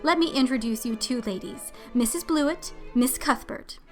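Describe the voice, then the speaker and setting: A woman's voice: fairly high pitch, moderate pace, a little mellow, faint music behind, lightly acted. A woman playing a fussy, bustling, chatty small-town housewife who gushes and exclaims, in a dramatized storybook. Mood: bustling, excited. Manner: effusive, exclamatory, gushing. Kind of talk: dramatized audiobook acting.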